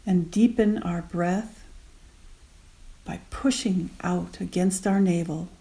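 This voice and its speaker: A woman guiding a meditation gently and unhurriedly in short spaced phrases, with soft, breathy endings. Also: on the low side, fairly even intonation, slow. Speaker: meditation guide. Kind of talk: guided meditation.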